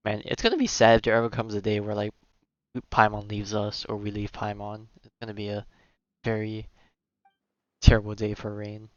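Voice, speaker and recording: A man with a lowish, fairly flat voice chats casually as he plays a video game on stream. He thinks out loud and mutters asides as he goes. The sound is close and dry, through a slightly dull mic. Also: moderate pace, dry recording. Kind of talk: casual game commentary, reading dialogue aloud.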